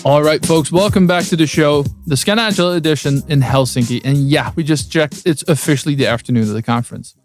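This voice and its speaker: A man's voice: a little high, fast talker, hardly any pauses, faint music behind. A man co-hosting a podcast, introducing the episode in an enthusiastic, promotional way at a conference. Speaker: podcast co-host. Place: conference venue.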